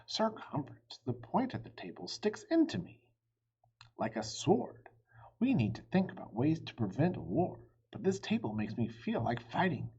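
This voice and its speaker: A man's voice: on the high side, big pitch swings, moderate pace, warm tone, slightly rough, highs cut off, pitched above the performer's own voice, exaggerated voice. A man voicing a troubled, commanding storybook king with a formal, complaining manner. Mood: irritated.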